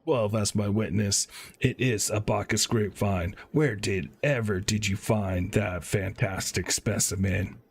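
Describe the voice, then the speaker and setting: Man reading as an excitable, husky wine connoisseur. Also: on the low side, measured pace. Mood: amazed. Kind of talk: reading game dialogue aloud.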